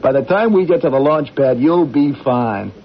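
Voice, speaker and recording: An actor playing a teasing, practical astronaut crewmate with an American accent in a 1980s radio drama. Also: male voice, medium pitch, lively intonation, normal speed, close to an everyday voice. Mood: reassuring, breezy. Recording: muffled old radio broadcast, faint music.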